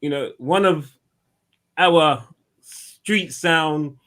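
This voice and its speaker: A man with a slightly high voice runs through upcoming performers. He keeps the tone upbeat. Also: very slow, fairly bright tone. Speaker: podcast co-host. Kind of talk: show announcements.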